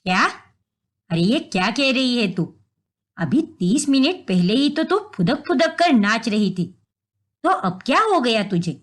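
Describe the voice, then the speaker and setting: महिला की आवाज़ में कड़क, डाँटने वाली बुज़ुर्ग सास. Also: female voice, on the high side, big pitch swings, a little slow, voice pushed down. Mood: scornful. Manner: bossy, sharp-tongued, scolding. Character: scolding older mother-in-law, stern and suspicious.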